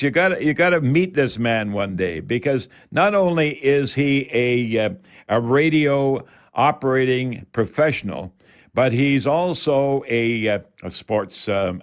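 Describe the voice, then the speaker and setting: An older man with a Canadian accent hosts a home improvement radio show in a folksy, rambling way, repeating words for emphasis. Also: mid-range voice. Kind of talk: folksy live radio talk with product tips.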